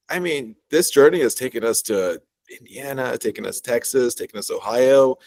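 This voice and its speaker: A male company co-founder being interviewed on a podcast, talking with excitement and tripping over his words in his enthusiasm. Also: medium pitch, dry recording. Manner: excited, enthusiastic, stumbling restarts.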